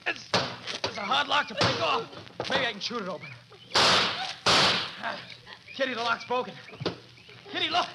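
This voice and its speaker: An actor playing a desperate, bullying young killer and thief in 1940s radio drama style, barking and insistent. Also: male voice, extremely high pitch, animated, normal speed, gravelly, long pauses, full character voice. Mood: agitated, insistent. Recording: noisy old 1940s radio recording.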